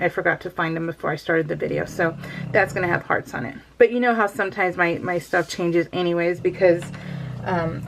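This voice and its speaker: A woman's voice: lowish voice, vocal fry, slight background noise, poor audio. A woman chatting casually while scrapbooking at home, rambling with lots of fillers and frequent laughs. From California. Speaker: crafting vlogger.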